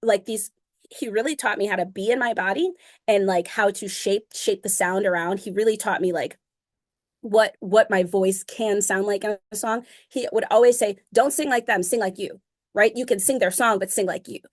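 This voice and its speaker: A woman's voice: medium pitch, moderate pace. A young woman being interviewed about her music, talking casually with many fillers and frequent laughs. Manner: chatty, fillers of um and like, laughs often. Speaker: interview guest, singer.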